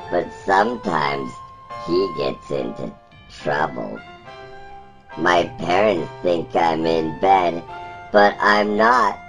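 A man voicing a small gentle alien who reads a children's storybook slowly and haltingly, croaky and breaking into squeaks, over instrumental music. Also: heavily acted, poor audio. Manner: slow, halting, childlike storytelling with swooping pitch. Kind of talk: storybook reading in a character voice.

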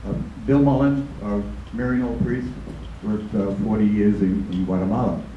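A man's voice: on the low side, steady tone, normal speed, a little hiss or hum. An older man, a priest, standing in an audience and speaking earnestly into a handheld mic, stumbling over repeated words. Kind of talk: audience comment at Q&A. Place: large conference hall. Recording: dull echoey hall.

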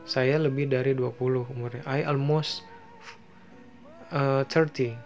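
A man's voice: mid-range voice, fairly even intonation, a little mellow, faint music behind. A man with an Indonesian accent teaching basic English phrases over slides, reading each phrase and translating it, with frequent fillers. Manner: slow, explains each phrase then translates it, with many fillers. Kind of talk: bilingual lesson over slides.

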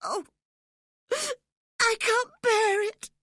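A woman's voice: very high-pitched, drawn-out words, a bit bright, no room sound, studio quality, voice pushed up, exaggerated voice. A woman voicing a frightened, sickly teenage boy in an audiobook story, sobbing and pleading. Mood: tearful pleading. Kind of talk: dramatised audiobook reading.

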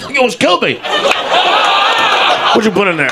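A man's voice: high-pitched, very animated, fairly quick, creaky. A middle-aged man from New York with a New York accent, doing brash, profane crowd work as a stand-up comedian on a club stage with a handheld mic. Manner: brash, profane, mocking banter. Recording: roaring crowd, loud room noise.

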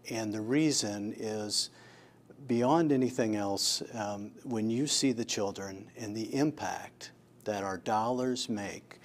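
A man, giving unscripted, halting answers as an interview guest. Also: on the low side. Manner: halting, pausing mid-sentence. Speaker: charity board leader, interview guest. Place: indoor office room, television shoot.

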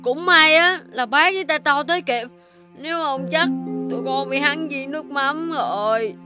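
A woman's voice: high-pitched, normal speed, close to an everyday voice. A woman voicing an earnest, whiny young pup, a childlike playmate in a children's cartoon. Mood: relieved. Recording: music behind voice.

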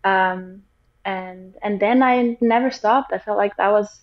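A young woman from Portugal, a guest on a video call, talking softly and hesitantly with many fillers. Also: medium pitch, a little slow. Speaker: guest, pro surfer. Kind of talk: casual interview over video call. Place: small room indoors. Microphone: laptop or webcam mic on a video call. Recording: dull, lo-fi video call audio.

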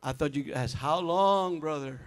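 An older man singing gospel and chatting casually from a church stage with a stand mic, his talk relaxed and full of fillers. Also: a little high, a little slow, clean tone, long reverb tail. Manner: relaxed, chatty, hesitant fillers between songs. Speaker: gospel singer and keyboardist. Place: large church sanctuary stage. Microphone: stand mic at keyboard. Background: keyboard music.

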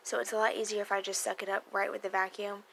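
A woman's voice: mid-range voice, flat delivery, quick pace, vocal fry, a bit bright, a little hiss or hum. A young woman, a cleaning vlogger, casually talking over her footage and explaining what she is doing around the house. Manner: chatty, explains as she goes.

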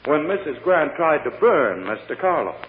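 A man's voice: on the high side, big pitch swings, moderate pace. A smug American prosecutor in an old radio drama. His delivery swoops theatrically and drips with sarcasm. The sound is tinny and hollow with long reverb and faint noise. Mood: coaxing. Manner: theatrical, sarcastic, sweeping emphasis.

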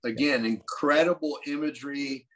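A man's voice: mid-range voice, moderate pace, fairly bright tone, rough recording. An older man on a video call from a room at home, talking through ideas in a thoughtful, musing way with many restarts. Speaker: co-host discussing Bible prophecy. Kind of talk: casual video call discussion. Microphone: video call webcam.

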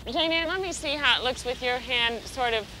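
A woman's voice: high voice, normal speed, sharp tone, low-quality recording. A middle-aged woman, a photographer, talking informally on a shoot and searching a little for words. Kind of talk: casual directing of a photo shoot. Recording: faint location noise. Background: ambient noise.

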